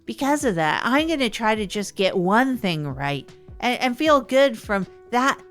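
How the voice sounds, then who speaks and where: A woman's voice: fairly high pitch, very animated, measured pace, soft background music. A woman playing an awkward, rambling self-help podcast host in a comedy audio drama, hesitant and often repeating themself. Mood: earnest, rambling. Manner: hesitant, repetitive, flustered.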